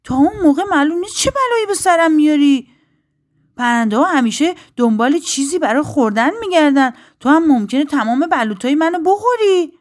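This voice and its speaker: A woman voicing a stingy, suspicious oak tree in a children's story, shouting and scolding. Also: high voice, lively intonation, voice pushed up. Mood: indignant.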